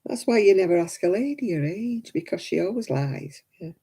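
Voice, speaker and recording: A woman in her seventies hosting a crafting livestream, chatting homely and warmly with viewers by name and laughing often. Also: fairly low pitch, lively intonation, fairly quick, slightly rough. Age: 70s. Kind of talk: casual chat reading out viewer comments.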